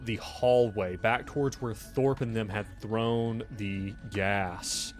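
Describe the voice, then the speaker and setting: A man narrating a tabletop role-playing game as game master, describing things informally and addressing the player directly. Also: lowish voice. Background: music.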